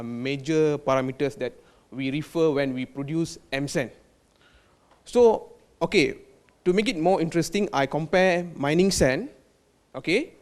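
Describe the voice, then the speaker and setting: A man from Malaysia with a Malaysian accent, a quality control manager giving a technical product presentation, halting with fillers and restarts and dropping in Malay words. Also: mid-range voice. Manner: hesitant, many fillers and restarts.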